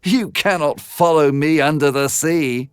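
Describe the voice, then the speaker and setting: A man's voice: on the high side, a little slow, clear, resonant tone, no echo, clean studio sound, faint music behind, voice pushed up, natural voice. Man as an impatient, emphatic lovestruck fisherman. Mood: firm. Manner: emphatic, curt, dismissive. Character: impatient, lovestruck male fisherman, dismissive of his soul. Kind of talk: bedtime storybook character voice.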